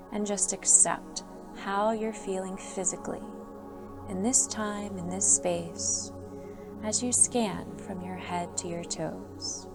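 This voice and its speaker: A woman yoga instructor leading a guided sleep meditation, giving soft, soothing instructions with calm pauses. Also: mid-range voice, creaky. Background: soft background music.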